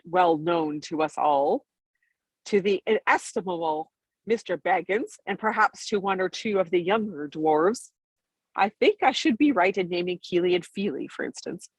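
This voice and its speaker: Storybook dwarf chief played by a woman: self-important, solemn, husky, with deliberate, swooping delivery. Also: fairly low pitch, no echo, rough recording. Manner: slow, solemn, formal speechifying. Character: pompous, long-winded important male dwarf leader.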